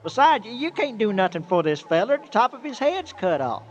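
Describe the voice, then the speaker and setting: High-pitched folksy country bumpkin. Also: male voice, very animated, brisk, muffled recording, faint music behind. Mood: reluctant. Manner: folksy, hesitant, rustic speech. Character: naive folksy good-natured country manure hauler. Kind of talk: old-time radio comedy acting.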